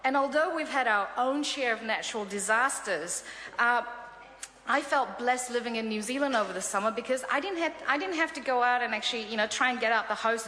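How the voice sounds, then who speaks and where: A woman's voice: fairly high pitch, pushed and bright. A middle-aged woman, a native Korean speaker, giving an upbeat parliamentary speech in a large chamber, with frequent filler sounds. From South Korea. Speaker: member of parliament. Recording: echoey chamber with long reverb.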